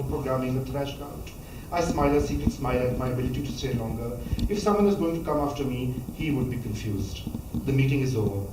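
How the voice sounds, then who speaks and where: A man's voice: mid-range voice, background noise. Man reading in an echoey hall. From Pakistan. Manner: measured reading with small stumbles and restarts. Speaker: author reading from his book. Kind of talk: reading a memoir passage aloud. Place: college lecture hall. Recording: echoey hall, voice from a distance, faint ambience.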